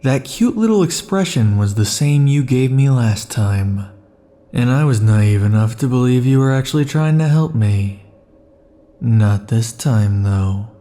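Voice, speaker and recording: A man voicing an obsessive, possessive male immortal in an ASMR roleplay, talking close to the mic in a slow, intimate, brooding way. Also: mid-range voice, expressive, warm tone, natural voice. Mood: menacing. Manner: slow, intimate, brooding delivery with sudden furious outbursts.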